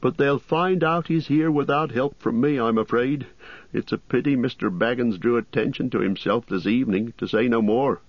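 A man's voice: medium pitch, moderate pace, no echo, muffled recording, voice pushed up, lightly acted. A man voicing a fussy, flustered middle-aged innkeeper, chattering in rustic turns of phrase, in a storybook reading. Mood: anxious, helpful.

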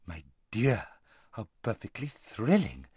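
A man's voice: lowish voice, huge pitch swings, slow pace, darkish tone, husky, no echo, clean studio sound, heavily acted. A man voicing a vain, frivolous young noblewoman in an audiobook, with an affected, gushing drawl. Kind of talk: audiobook character voice.

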